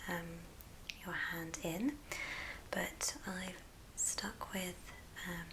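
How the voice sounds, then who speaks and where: A woman whispering a gentle, step-by-step ASMR craft tutorial in a soft, breathy voice. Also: lowish voice. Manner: unhurried, gentle step-by-step instruction. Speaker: ASMR host demonstrating a craft. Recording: clear background hiss and clicks.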